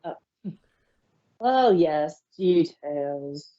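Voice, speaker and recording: A woman's voice: low voice, lively intonation, drawn-out words, lo-fi sound, exaggerated voice. A woman voicing a smug, teasing male chaos spirit in an amateur fan fiction reading, with a mocking manner. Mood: smug, amused.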